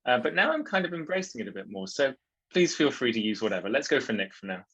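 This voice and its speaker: A man from Switzerland, talking as a guest on a podcast at an ordinary middle pitch. He tells his story in a thoughtful, unhurried way and often pauses to gather his words. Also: big pitch swings, a bit bright, husky, highs cut off. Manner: thoughtful and reflective, with frequent ums and restarts. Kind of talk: casual interview conversation.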